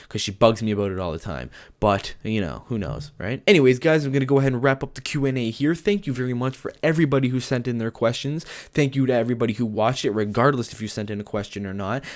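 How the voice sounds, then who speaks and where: A young man with a noticeable lisp chats loosely to his webcam, joking and laughing as he answers viewer questions. His voice sits at an ordinary middle pitch, and he talks in a relaxed, easygoing way from a bedroom. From Canada. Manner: chatty, joking, laughs often. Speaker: YouTube gaming channel host. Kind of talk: casual Q&A vlog.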